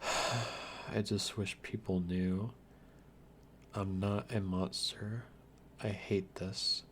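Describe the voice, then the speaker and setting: A man's voice: fairly low pitch, fairly even intonation, very slow, fairly bright tone, slight background noise. A man playing a lonely, guarded undead vampire, speaking straight to the listener in a wry, theatrical ASMR roleplay. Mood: bitter, sad.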